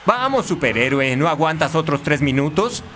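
Lively, highish-voiced young soccer hero. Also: male voice, moderate pace. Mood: taunting. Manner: teasing, cocky. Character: confident teasing young male soccer striker hero. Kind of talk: animated cartoon dubbing. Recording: music behind the dialogue.

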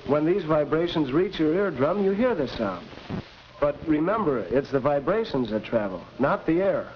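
A man's voice: fairly high pitch, sing-song, normal speed, highs cut off. An actor playing a patient young American man who explains science didactically in a 1940s instructional film. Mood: explanatory. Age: young adult. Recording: noisy 1940s film soundtrack.

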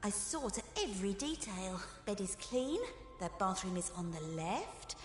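A woman's voice: mid-range voice, animated, moderate pace, lightly acted. Woman acting a chirpy, creepy housekeeper in an echoey hall. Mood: proud, fussy. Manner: fussy, eager, singsong hospitality. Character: chirpy, doting female housekeeper creature, slightly creepy. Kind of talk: video game character acting. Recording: echoey hall reverb, music behind.

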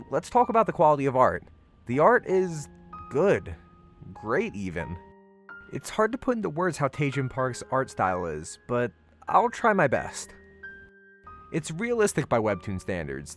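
A man hosting a video, reading a scripted review in an emphatic, conversational way. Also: mid-range voice. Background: background music.

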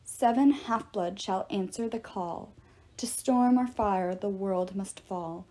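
A woman acts out a teenage girl oracle from a storybook. She is earnest and resolute, solemn and soft-spoken. Her tone is mellow and slightly husky. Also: medium pitch, takes it slowly. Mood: eerie, chanting.

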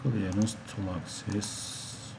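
Guy teaching software step by step, slow n halting, some background noise and keyboard clicks. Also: fairly low pitch, fairly even intonation. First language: Turkish. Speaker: instructor. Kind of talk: software tutorial narration.

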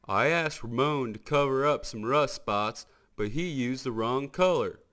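Man doing a folksy tow truck, pitched up. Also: unhurried, a bit bright, close to an everyday voice. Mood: cheerful. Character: friendly, folksy male tow-truck deputy, cheerfully earnest.

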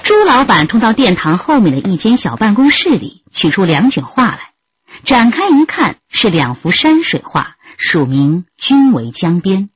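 A woman's voice: mid-range voice, very animated, moderate pace, lo-fi sound, a little hiss or hum. A woman giving dramatic scripted narration for a radio drama. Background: music.

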